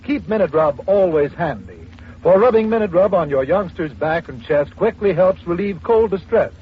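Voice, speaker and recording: A man's voice: high-pitched, animated, normal speed, husky. A man reading a scripted commercial as an announcer in formal, polished 1940s radio style. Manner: formal, polished selling delivery. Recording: muffled old radio, faint hiss.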